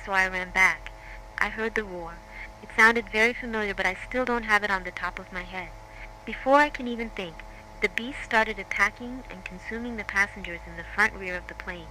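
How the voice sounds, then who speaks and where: A woman's voice: mid-range voice, a little fast, bright, forceful tone, slight background noise, lightly acted, poor audio. A woman playing a shaken crash survivor, giving a scripted first-person account step by step in a horror drama.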